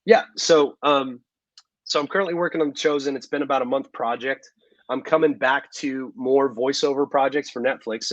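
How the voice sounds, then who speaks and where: A man's voice: medium pitch, dry recording. A man in his thirties, an actor being interviewed, talking at length in a chatty, rambling way with many ums and restarts, over a video call from his living room. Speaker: actor guest. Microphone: video call microphone. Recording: dull, dry home video call.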